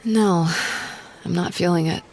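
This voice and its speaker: Withdrawn young woman, acted by a woman: terse, slow, gravelly, guarded, for an audio drama. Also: fairly low pitch, steady tone, a little mellow, rough recording. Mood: reluctant, wary. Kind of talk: animated-series fan audio drama.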